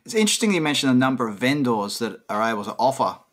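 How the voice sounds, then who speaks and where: A man in his fifties hosts a podcast interview in a mid-range voice. He lays out his questions in a measured way, speaking on a video call from indoors. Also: mid-range voice, big pitch swings, a bit bright. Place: bright room, indoors.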